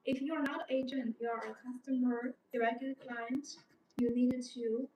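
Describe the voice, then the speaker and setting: A woman's voice: a little high, fairly even intonation, moderate pace, dull audio. Young woman, non-native English, live product presenter, repetitive explaining, echoey room, faint background noise. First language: not English. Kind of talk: unscripted live sales demonstration. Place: indoor showroom studio with desk and backdrop. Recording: roomy, distant mic, background noise.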